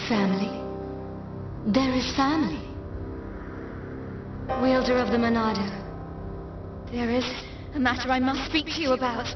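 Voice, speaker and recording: A woman's voice: fairly high pitch, unhurried, long pauses, roomy sound, lightly acted. An actress voicing a solemn, regal, otherworldly young woman in a video game, formal and stately in her speech. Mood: solemn, detached. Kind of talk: video game cutscene acting. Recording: distant, muffled game audio with music.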